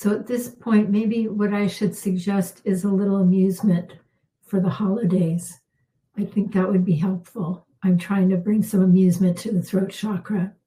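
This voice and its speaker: A middle-aged woman, a healer, leading a calm guided spiritual healing with unhurried talk and soft pauses, her voice slightly worn. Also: mid-range voice, low-quality recording. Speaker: intuitive healer hosting a live session.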